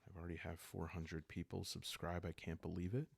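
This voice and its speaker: A man calmly narrating an improvised solo roleplay story in a soft-spoken, halting way, as if for sleep. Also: low-pitched, creaky, slightly rough, dry recording.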